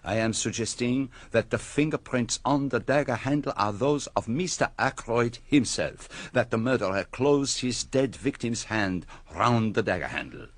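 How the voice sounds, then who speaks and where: A man's voice: mid-range voice, lively intonation, moderate pace, few pauses, no echo. An actor playing a courteous, shrewd foreign detective with a French accent, politely hesitating over his English in a radio drama. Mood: sly, amused.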